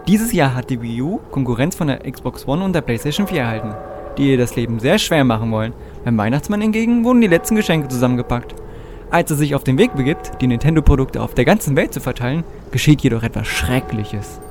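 A man's voice: medium pitch, expressive, fast, noisy background, close to an everyday voice. A man playing a know-it-all storyteller who narrates a comic Christmas audio drama. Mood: smug. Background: music.

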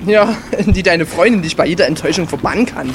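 A man's voice: on the high side, fast, noisy background. A young man performing scripted comic audio drama dialogue in a teasing manner. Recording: music bed behind the voice.

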